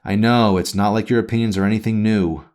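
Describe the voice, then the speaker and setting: A man's voice: fairly low pitch, steady tone, fast, a little mellow, voice pushed up, natural voice. A man voicing a guarded, sullen teenage girl in an audiobook, curt and sarcastic. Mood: weary, dismissive. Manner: sulky, curt, dismissive.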